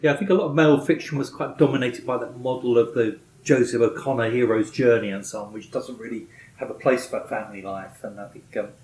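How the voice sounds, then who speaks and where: A man's voice: medium pitch, steady tone, fast, darkish tone. A man interviewing in an informal literary discussion, with a tentative, thoughtful way of talking. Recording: echoey room, faint background noise.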